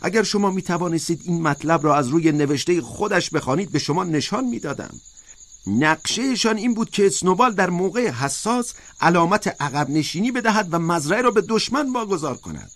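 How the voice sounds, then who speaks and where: A man's voice: a little high, a bit bright, pitched above the performer's own voice. A man voicing a sly, fervent propagandist pig in a storybook, speaking in an emphatic, insistent, oratorical way. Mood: insistent, accusing. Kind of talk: audiobook character voice.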